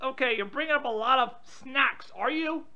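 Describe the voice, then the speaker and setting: Woman, very high and forceful voice, testy phone banter, muffled lo-fi recording. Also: normal speed. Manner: irritable, confrontational. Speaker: prank call participant. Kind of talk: phone banter, reading out weather updates. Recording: dull lo-fi audio.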